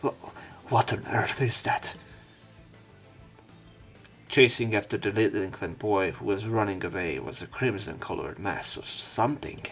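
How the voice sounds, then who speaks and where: Male let's player, lowish register, reads story text and tosses in irreverent casual remarks, game music underneath. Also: a little slow, a bit bright. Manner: irreverent, swearing offhand. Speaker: let's play commentator and narrator. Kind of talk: reading a visual novel aloud with casual commentary.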